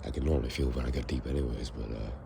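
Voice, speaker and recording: A hoarse young man with a gravelly voice talks at the very bottom of his range. He rambles casually to a handheld phone as he walks outside. Passing traffic and outdoor noise sit clearly behind him. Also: a bass voice, steady tone, racing. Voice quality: raspy. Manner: rambling, musing to camera. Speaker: vlogger. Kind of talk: casual walking vlog. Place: outdoors, walking on a foggy street.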